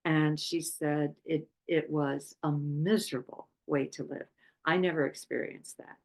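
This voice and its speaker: A woman from Poland giving an unscripted talk on chakras, speaking haltingly with many pauses and fillers. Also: sits low, steady tone, creaky. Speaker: chakra workshop presenter.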